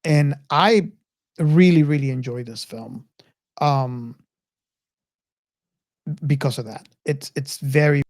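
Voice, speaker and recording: A man's voice: medium pitch, slow pace, long pauses, darkish tone, no room sound, clean studio sound. A man hosting a casual film discussion, rambling and circling back over his phrases as he talks. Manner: rambling, repeats and restarts phrases. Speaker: podcast host.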